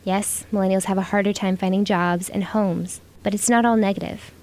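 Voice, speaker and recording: A woman's voice: mid-range voice, fairly even intonation, normal speed, darkish tone. An American woman reading scripted narration as a student reporter.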